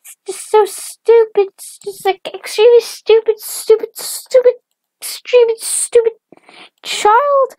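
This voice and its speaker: A woman's voice: very high voice, steady tone, a little slow, a little mellow, dry recording, voice pushed up, poor audio. A young girl voicing a hateful Martian mother in a made-up story, ranting and repeating her insults. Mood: enraged, hateful. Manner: repetitive ranting.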